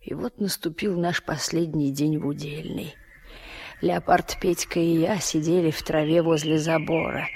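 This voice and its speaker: A woman's voice: low voice, fairly even intonation, normal speed, darkish tone, husky. A woman narrating childhood memories as scripted storytelling in a radio play, in a reflective way. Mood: wistful.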